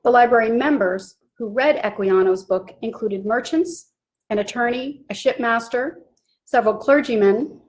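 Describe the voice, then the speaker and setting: A woman giving a scripted talk on literary history, reading clearly and evenly. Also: on the high side, normal speed, rough recording. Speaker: presenter.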